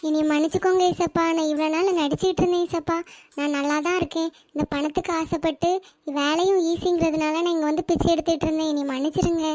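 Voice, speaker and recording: A woman's voice: very high-pitched, steady tone, fast, a little mellow. A performer voicing a scheming young female fake beggar in a cartoon, talking in a cocky, wheedling way. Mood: pleading. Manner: cocky, wheedling begging chant. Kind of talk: cartoon voice acting.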